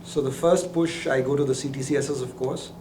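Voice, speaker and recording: A man's voice: mid-range voice, steady tone, fairly quick. A man giving a methodical, explanatory product demonstration, as a presenter. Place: indoor lab. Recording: roomy echo, faint background noise.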